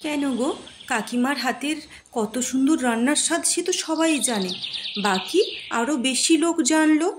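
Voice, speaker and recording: A woman's voice: high-pitched, normal speed, noisy background, natural voice. A woman playing a chatty, curious villager in a cartoon, with a gossipy, incredulous manner. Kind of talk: cartoon moral-story dialogue. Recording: echoey sound with music behind.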